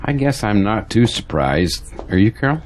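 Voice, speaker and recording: A resigned, pragmatic churchgoing man, with a deep voice that moves up and down a lot. He ponders things matter-of-factly, in audio drama style. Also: big pitch swings, normal speed, slight background noise. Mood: wry, resigned.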